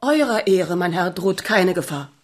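An actress playing a proud, virtuous young countess in a German radio drama, speaking with formal, emphatic dignity. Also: female voice, fairly low pitch, animated, a little slow. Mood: indignant.

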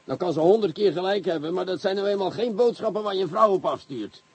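A man playing a grumbling, sceptical police commissioner in a radio drama, husky and fussily exasperated. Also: high voice, fast. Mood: argumentative. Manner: fussy, exasperated, hesitant. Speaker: actor.

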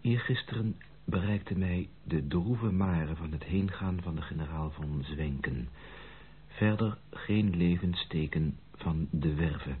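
A weary gentleman voicing a letter in a radio drama. His voice is low and very slow, measured and formal. The recording is muffled and narrow, with faint noise. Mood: subdued, formal. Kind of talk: Dutch radio play acting, reading a letter.

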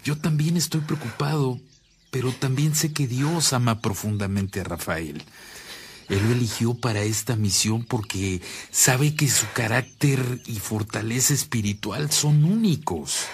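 An actor with a Mexican accent playing an earnest, reflective priest in a radio drama, speaking in a measured, solemn way. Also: male voice, mid-range voice, slight background noise, natural voice. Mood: concerned, reassuring. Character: earnest, reflective Mexican priest, calming his worried sister. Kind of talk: Spanish-language radio drama acting.

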